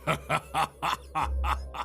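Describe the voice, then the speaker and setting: A man's voice: a little high, fairly even intonation, very slow, vocal fry, pushed and bright, a little hiss or hum, heavily acted. A man playing a proud, wrathful dragon lord, speaking in a grand, imperious manner in a fantasy audio drama. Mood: imperious anger. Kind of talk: fantasy audio roleplay acting.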